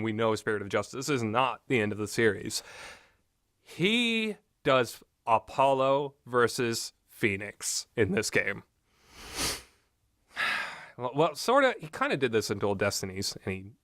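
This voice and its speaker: A man's voice: medium pitch, fairly bright tone, no echo, clean studio sound. A man giving rambling, informal and opinionated commentary on games, speaking off the cuff with emphatic repetition. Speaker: commentator reviewing visual novel games.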